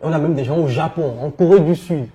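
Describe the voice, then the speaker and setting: A man with a West African French accent, answering conversationally as an interview guest, telling his experience in an animated way. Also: a little high, brisk. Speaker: interview guest, entrepreneur and coach.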